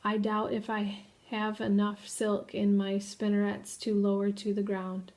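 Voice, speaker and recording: A woman voicing a calm, wise female spider who is dying, speaking quietly and low. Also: a little slow, natural voice.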